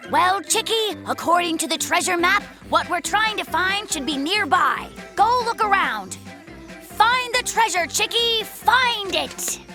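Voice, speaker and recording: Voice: very high-pitched, animated, a little slow, music in the background. An actor playing an eager, good-natured young male bear cub in a children's cartoon, earnest and playful, with lots of exclamations. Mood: patient, instructing.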